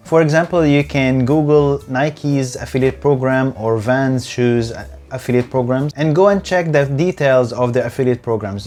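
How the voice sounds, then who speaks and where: A man's voice: mid-range voice, a little mellow. A man who speaks English as a later language, giving a scripted, earnest tutorial as host of his own channel, a little stiff on camera. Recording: faint background music.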